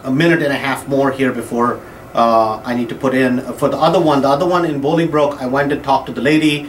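A man on a phone call in a small office, talking slowly with many fillers and drawn-out words. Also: mid-range voice, fairly even intonation. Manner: drawn-out, filler-heavy talk. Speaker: real estate investor bidding at auction. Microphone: phone held at mouth, speakerphone. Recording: roomy office with faint hum.

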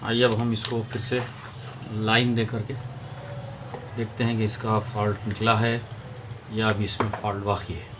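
A man presents an informal repair tutorial in a low voice. His delivery is flat and even, moving step by step as he addresses viewers. The home-made recording has some noise behind him. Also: normal speed, long pauses.

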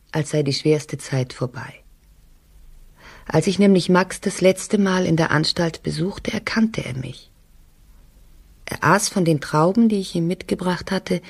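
Radio play: troubled wife, husky and breathy, intimate wistful letter monologue. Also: female voice, on the low side, a little mellow.

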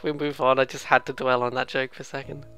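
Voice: mid-range voice, little pitch movement, fast talker, sharp tone, faint music behind. A let's play host giving casual, rambling, playful commentary on a video game. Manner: rambling, playful, mimics sounds and game lines.

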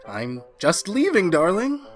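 A woman's voice: on the low side, very animated, unhurried, faint music behind. A woman playing a nervous, henpecked husband in a retro radio drama, confiding hesitantly and getting flustered. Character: nervous, henpecked husband, a university history teacher. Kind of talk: retro 1940s radio drama, first-person narration.

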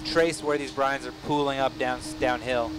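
A man working as a scientist gives casual live commentary on a dive, explaining things in halting, thinking-aloud phrases. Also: mid-range voice, fairly even intonation, poor audio. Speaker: expedition scientist.

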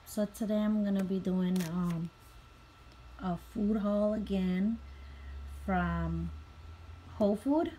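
Mom doing a grocery haul, slow chatty voice, lots of ums, bags crinkling, noisy phone audio. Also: female voice, medium pitch, warm tone. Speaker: vlogger, mother. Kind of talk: casual grocery haul show-and-tell. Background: crinkling packaging and clicks.

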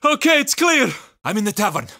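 An actor playing a tense, cynical survivor of a zombie apocalypse in a video game, urgent and terse. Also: male voice, high-pitched, big pitch swings, brisk, creaky, sharp tone, exaggerated voice.

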